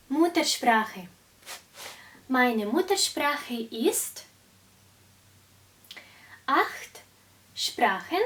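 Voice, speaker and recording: Slow high-voiced teacher. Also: female voice, far from the mic. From Russia. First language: Russian. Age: 20s. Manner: slow, carefully articulated teaching delivery. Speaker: German teacher. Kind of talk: beginner language lesson.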